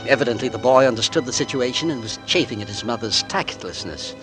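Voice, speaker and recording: A man's voice: medium pitch, quick pace, natural voice. An actor playing a precise, self-assured middle-aged gardener who narrates his own story in a 1940s radio drama, in an understated manner. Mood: brooding. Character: fussy, cold-blooded middle-aged male gardener. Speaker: narrator and lead. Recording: old radio recording with music bed.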